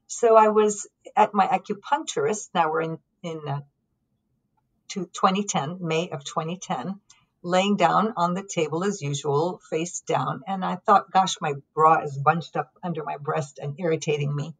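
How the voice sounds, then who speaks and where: A middle-aged woman from Lebanon, a podcast guest, telling her story calmly and reflectively with frequent pauses and ums. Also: sits low, slightly rough, rough recording. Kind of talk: conversational storytelling.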